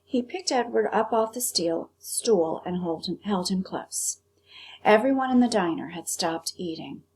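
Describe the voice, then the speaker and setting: A woman's voice: medium pitch, lively intonation, measured pace, darkish tone, husky, low-quality recording. A woman teacher narrating a children's novel as a read-aloud.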